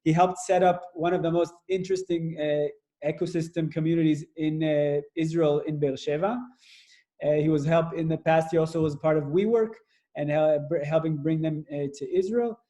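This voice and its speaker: Moderator: man, highish warm voice, halting delivery, video call. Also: fairly even intonation. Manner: halting, many fillers while introducing. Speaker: webinar moderator. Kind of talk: moderating an online webinar.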